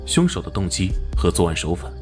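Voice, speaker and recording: Low-voiced, commanding detective captain. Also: male voice, very animated, over music. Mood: analytical. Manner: authoritative, brisk, serious. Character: gruff, earnest, commanding male police detective captain. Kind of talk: Chinese animated crime drama acting.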